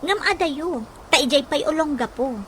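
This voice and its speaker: A woman's voice: sits high, moderate pace, close to an everyday voice. An actress playing a clingy, discontented young woman with a plaintive manner in a radio drama. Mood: concerned. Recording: very noisy, with ambient sound.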